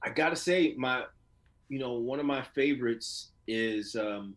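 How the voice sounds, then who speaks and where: A man who works as an actor, talking casually and colloquially as an interview guest, telling stories in an animated way. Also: medium pitch, measured pace. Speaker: voice and motion capture actor being interviewed.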